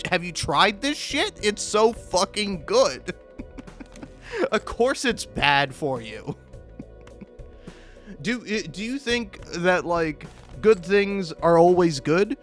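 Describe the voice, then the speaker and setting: Rambling, sweary man with a higher-pitched voice. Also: fairly bright tone. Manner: sweary, self-deprecating, meandering; chuckles often while talking. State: high on drugs. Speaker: video game streamer. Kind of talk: casual rambling gameplay commentary.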